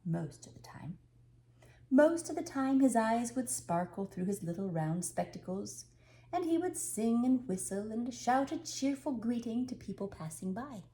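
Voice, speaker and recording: Older woman reading with lively, swooping intonation. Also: medium pitch, a little hiss or hum. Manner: unhurried, expressive storytelling with dramatic pauses. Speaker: storyteller reading aloud. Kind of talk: children's storybook read-aloud.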